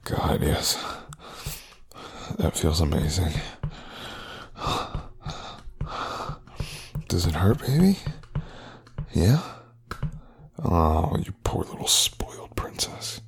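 A man's voice: low voice, takes it slowly, vocal fry, long pauses, slightly rough, exaggerated voice. A man playing a cold, domineering, cruel lover in a whispered adult audio roleplay, speaking close and taunting.

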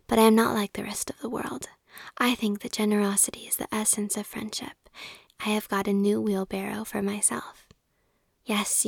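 A woman's voice: medium pitch, darkish tone, no echo, studio quality. A woman whispering as a smug, pompous miller man. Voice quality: whispered, gravelly. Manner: smug, lecturing. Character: pompous self-satisfied male miller.

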